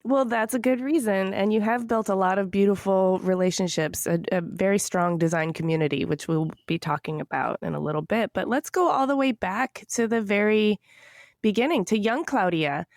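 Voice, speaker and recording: A woman's voice: medium pitch, normal speed, no echo, studio quality. A woman hosting an interview podcast, asking curious, upbeat questions of a guest. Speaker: podcast host.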